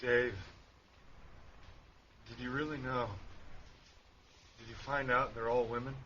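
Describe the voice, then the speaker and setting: A man's voice: mid-range voice, flat delivery, slow, bright, forceful tone. An actor playing a sober, bitter male doctor in a radio play, speaking with exasperated emphasis. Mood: dry. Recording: dull lo-fi recording, faint noise.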